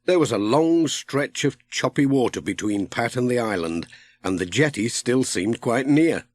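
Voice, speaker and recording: A man narrating a children's audiobook in a measured, expressive storytelling style. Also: medium pitch.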